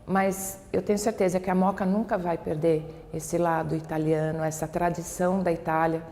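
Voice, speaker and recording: Low-voiced São Paulo woman, very echoey. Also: fairly even intonation, normal speed, warm tone, soft background music. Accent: São Paulo Brazilian Portuguese. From São Paulo, Brazil. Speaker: local resident interviewee. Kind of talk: documentary interview, conversational. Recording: long reverberant hall, faint background noise.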